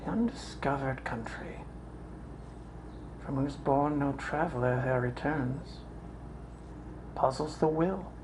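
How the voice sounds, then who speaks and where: A brooding prince speaks a Shakespearean soliloquy, acted by a stage performer. The delivery is slow and deliberate, full of weighty pauses. Faint noise sits under the home recording. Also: mid-range voice, lightly acted. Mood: grave, troubled. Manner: deliberate, pausing, dropping to whispers. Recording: noisy amateur home recording.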